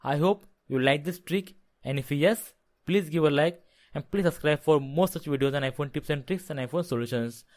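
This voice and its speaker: A man's voice: on the high side, normal speed, darkish tone. A man hosting a tech tutorial, narrating instructions step by step and repeating key points. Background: background music.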